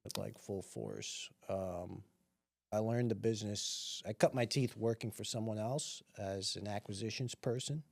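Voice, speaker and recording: A laid-back man answering as a podcast guest, dropping wry, teasing lines in an easy drawl. His voice is gravelly with plenty of fry, and it sounds dry and close with no room around it. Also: on the low side, unhurried, darkish tone, dry recording. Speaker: podcast guest, real estate investor. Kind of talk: casual podcast chat.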